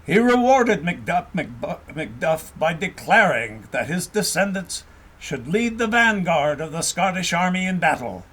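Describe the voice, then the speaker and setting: A man narrating a historical tale as an audiobook reader, in an expressive storytelling style. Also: a little high, a little slow, husky.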